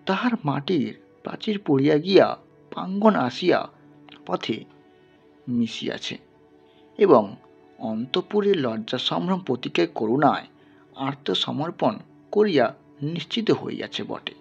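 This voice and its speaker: A man's voice: a little high, big pitch swings, a little slow, gravelly, pauses often, darkish tone, breathy edge, dull audio, soft background music. A man narrating a literary story in a dramatic style, as the reader of an audio tale.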